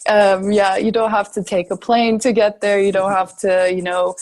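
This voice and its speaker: A woman's voice: medium pitch, little pitch movement, normal speed, darkish tone. Female, young adult, academic podcast guest. Mid-range voice, conversational, thoughtful, hesitant delivery. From Turkey, grew up in the Balkans. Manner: thoughtful, hesitant, searching for words. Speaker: podcast guest, sociology researcher. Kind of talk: conversational interview answers.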